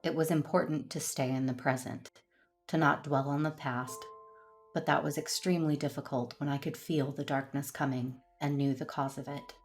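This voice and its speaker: A woman playing a solemn, conflicted but resolute Jedi warrior in an audio drama, speaking in a measured, earnest way. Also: sits low, steady tone, soft background music. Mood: brooding, somber. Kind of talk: first-person narration and dialogue in audio drama.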